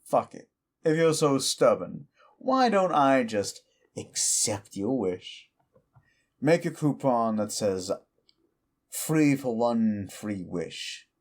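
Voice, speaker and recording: A man voicing an ancient, petty male demon who mocks a mortal in a slow, deep drawl, in audio roleplay. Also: very animated. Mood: mocking.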